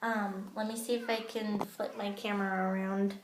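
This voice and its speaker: A woman's voice: mid-range voice, level tone, moderate pace, noisy background. A woman with a childish-sounding voice gives a chatty, casual training talk to her sales team, full of ums, recorded on an old smartphone in her bathroom. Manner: chatty, with many ums and you-knows. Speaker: direct-sales makeup team leader. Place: home bathroom. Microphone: old smartphone camera. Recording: echoey bathroom on a phone.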